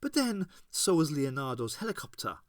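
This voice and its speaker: A man reading aloud and voicing a hectoring, absent-minded male teacher, a bit higher than his narration. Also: big pitch swings, moderate pace, dry recording, clean studio sound. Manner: lecturing, hectoring.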